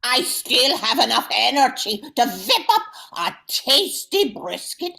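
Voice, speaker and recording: A gushing, folksy mother acted by a woman gives an exaggerated testimonial in a comic radio advertisement. She stretches her words at a slow pace, and her pitch leaps about with great animation. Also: very animated, vocal fry, pushed and bright, heavily acted. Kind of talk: old-time radio ad parody.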